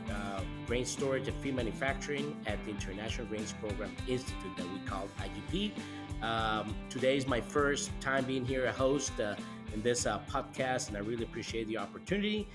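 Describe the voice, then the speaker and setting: Costa Rican man hosting, halting delivery. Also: medium pitch. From Costa Rica. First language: Spanish. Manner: halting, repeats words and restarts often. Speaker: podcast host. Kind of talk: conversational podcast interviewing.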